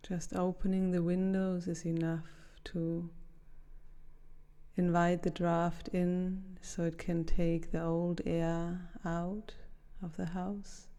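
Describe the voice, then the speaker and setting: A woman leading a guided meditation in a gentle, soothing, unhurried manner with long pauses. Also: fairly low pitch, little pitch movement, clean tone.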